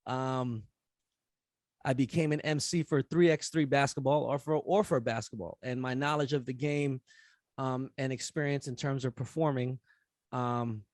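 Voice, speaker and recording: A middle-aged man talking casually at a forum, relaxed and rambling with many fillers. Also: mid-range voice, dry recording, studio quality. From United States. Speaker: rapper and event host speaking at a forum. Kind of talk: casual conference talk after a rap performance.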